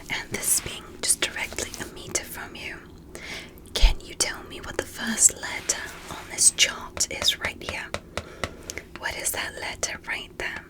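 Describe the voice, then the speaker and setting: A woman whispering in an ASMR medical roleplay as a curious, polite alien doctor, speaking gently and deliberately with soft amazement. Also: low-quality recording. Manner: gentle, deliberate, repetitive, softly amazed at small things. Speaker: ASMR performer playing an examiner.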